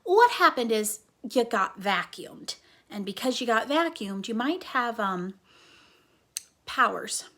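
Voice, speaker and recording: A woman's voice: on the high side, big pitch swings, slow, fairly bright tone, exaggerated voice. A woman voicing an earnest, cynical young girl who loves comics, explaining things emphatically in a storybook reading. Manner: emphatic, instructive.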